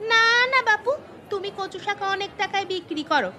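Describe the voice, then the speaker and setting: A woman's voice: very high-pitched, brisk, sharp tone, some chatter behind, a little hiss or hum. A woman voicing a frank, thrifty village neighbour in a cartoon story, with an emphatic, gossipy manner. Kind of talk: Bengali moral-story cartoon dialogue. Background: background music.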